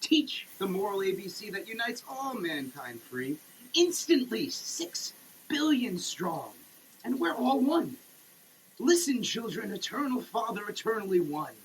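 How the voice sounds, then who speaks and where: A man giving a dramatic, preachy reading with emphatic pauses, like a sermon. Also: high-pitched, sing-song, slight background noise, low-quality recording. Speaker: sketch performer. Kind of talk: dramatic reading of a soap label, declaimed like a sermon. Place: shower, per the page.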